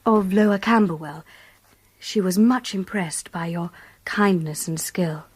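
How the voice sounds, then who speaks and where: A woman's voice: fairly low pitch, a little slow, slightly rough. A woman acting a reserved, well-bred young Victorian governess with an English accent, composed and precise, in a radio drama. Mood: polite, reserved.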